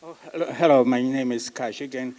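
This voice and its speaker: A man in his sixties speaks English with a Polish accent, his first language being Polish. He asks his question from the audience, pausing often with "uh." His pitch is in a middle range for a man. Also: dark tone. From Poland. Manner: hesitant, with many "uh" pauses. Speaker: audience member.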